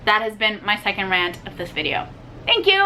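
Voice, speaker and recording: A woman's voice: medium pitch, very animated, a little fast, pushed and bright, slight background noise. A young woman whose first language is Spanish, chatting casually and ranting animatedly about a book, with lots of fillers. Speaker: book vlogger. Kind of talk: casual book review chat.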